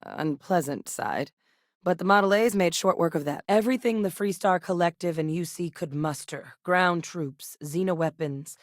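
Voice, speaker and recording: A woman voice actress playing a determined, principled soldier-scientist in a space adventure video game, speaking earnestly and conversationally with military briskness. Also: on the low side, no echo, clean studio sound, close to an everyday voice. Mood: focused, measured. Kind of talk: acted video game dialogue.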